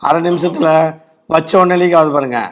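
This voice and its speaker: A man with a Tamil Nadu accent speaks as a doctor giving phone-in advice. His voice sits notably high for a man and he talks very quickly, explaining things bluntly. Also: steady tone. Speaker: doctor advising a caller. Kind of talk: medical phone-in consultation.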